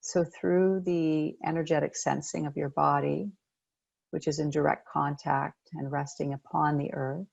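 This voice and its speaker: Low-pitched, creaky woman guiding meditation. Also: steady tone, dry recording, muffled recording. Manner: gentle, unhurried, pausing between phrases. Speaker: meditation coach. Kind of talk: guided meditation.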